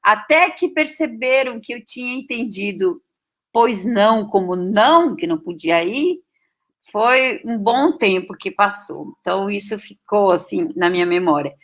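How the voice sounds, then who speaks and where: A woman in her late fifties with German as her first language, giving a reflective personal talk to an audience, pausing often and restarting her sentences. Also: medium pitch, animated, fairly bright tone. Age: 50s. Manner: slow, reflective, with many pauses and restarts. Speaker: guest speaker.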